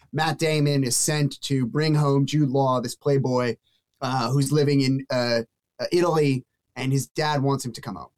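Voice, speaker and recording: A man with a naturally fairly high-pitched voice, a middle-pitched talker for his sex. He hosts a casual podcast interview, rambling through long, animated questions and breaking off his own sentences. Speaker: podcast host.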